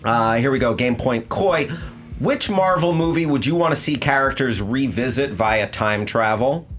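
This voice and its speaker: A man hosts and judges a casual debate game show. He speaks at a middle pitch in a halting, joking way, moving the contest along informally. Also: fairly bright tone, soft background music.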